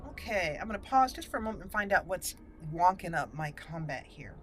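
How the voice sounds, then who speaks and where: A woman's voice: fairly low pitch, big pitch swings, fairly quick. A woman hosting a let's-play, chatting casually through her gameplay with giggles and self-mocking asides. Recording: game music behind the voice.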